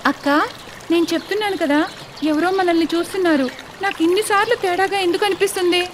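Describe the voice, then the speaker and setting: A woman's voice: extremely high pitch, steady tone, fast talker, a little hiss or hum. A woman voicing an anxious, principled young village daughter-in-law in a cartoon story, pleading and earnest. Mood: worried.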